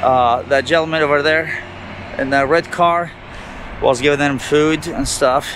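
A man's voice: a little high, steady tone, a bit bright. Man vlogging on a noisy street. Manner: rambling, halting, with ungrammatical phrasing. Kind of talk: walking street vlog commentary. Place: city sidewalks outdoors. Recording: outdoor street noise.

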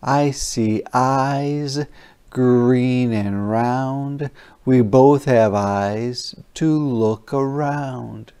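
A narrator reading a children's picture book aloud in an expressive, deliberate, dramatic way. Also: mid-range voice, clean tone.

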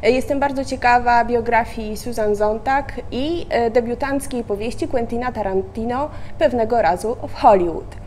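A woman's voice: a little high, moderate pace, few pauses, darkish tone, slight background noise. A middle-aged woman from a bookshop, enthusiastically promoting new books and a book fair. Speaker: bookshop staff. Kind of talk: promotional announcement. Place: indoor bookshop.

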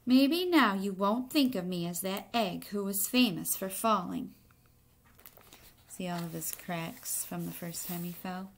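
A middle-aged woman reads a children's book aloud in deliberate short phrases, pausing to put questions to young listeners. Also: mid-range voice, a little hiss or hum. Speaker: teacher reading a picture book aloud. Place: indoor room in a home.

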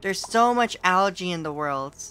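A woman streaming a game with casual, playful commentary, laughing often, her voice a little hoarse and sore. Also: lowish voice, lively intonation, normal speed, a bit bright, slight background noise. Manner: giggly, playful, exclaims at the game.

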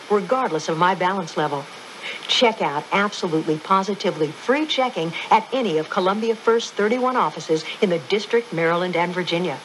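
An American woman with a slightly low voice delivers a scripted radio commercial as its announcer. The recording has faint background noise. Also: low-quality recording. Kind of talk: scripted radio advertisement read.

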